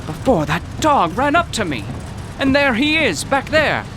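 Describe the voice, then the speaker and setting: A man's voice: very high-pitched, sing-song, measured pace, background noise, full character voice. An actor playing a nervous, bookish, college-educated man in an audio drama, speaking in a pompous, wordy, poetic way. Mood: baffled, earnest. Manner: pompous, wordy, flustered, literary diction. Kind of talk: audio drama acting in old-time radio manner.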